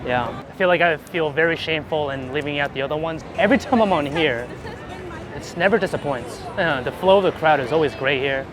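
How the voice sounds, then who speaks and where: A man's voice: on the high side, a little fast, crowd noise. A man being interviewed as a guest, chatting casually and informally on a busy city street. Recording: noisy outdoor city street with traffic.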